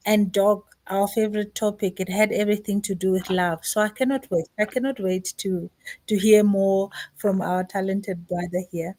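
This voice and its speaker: Eager mellow woman co-host. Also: medium pitch. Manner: eager, repeats phrases. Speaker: radio co-host. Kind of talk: casual chat.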